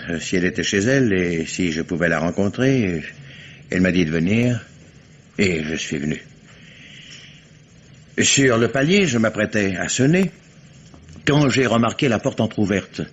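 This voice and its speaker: An actor playing a proud, grieving lawyer under police questioning, formal and hesitant, in a 1950s radio drama. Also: male voice, low voice, a little hiss or hum. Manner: formal, hesitant with many fillers, flares into indignation. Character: proud grieving male lawyer, indignant suspect. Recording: muffled old radio broadcast.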